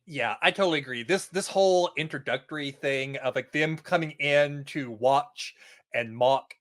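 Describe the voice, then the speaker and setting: A man's voice: fairly high pitch, normal speed, fairly bright tone. A man talking casually as a podcast guest, agreeable, enthusiastic and a little wry. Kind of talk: casual TV recap chat.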